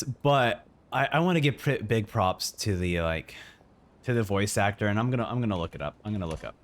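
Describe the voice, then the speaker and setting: Casting: male live streamer, mid-range voice with big pitch swings, effusive, casual gameplay reactions. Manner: excitable, effusive, repeats phrases.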